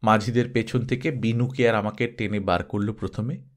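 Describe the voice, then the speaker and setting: A man reading a story aloud as an expressive scripted narration. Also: lowish voice, normal speed.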